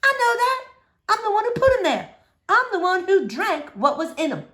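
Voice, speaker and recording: A wise old woman of a children's storybook, put on by a woman reading aloud. She speaks in folksy, plain words, kindly but firm. Her pitch moves around a great deal. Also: big pitch swings, moderate pace, fairly bright tone, exaggerated voice. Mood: candid. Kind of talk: children's novel read aloud.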